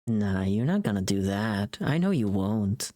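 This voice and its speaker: Female streamer, deep-voiced and leisurely, for playful, casual, teasing live chat. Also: sing-song, unhurried, warm tone. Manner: swears casually.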